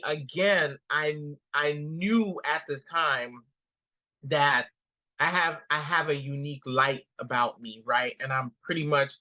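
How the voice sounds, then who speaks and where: A woman with a Guyanese accent, a comedian guest telling stories in a casual interview and acting out the quotes. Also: low voice. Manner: animated storyteller, acts out quotes.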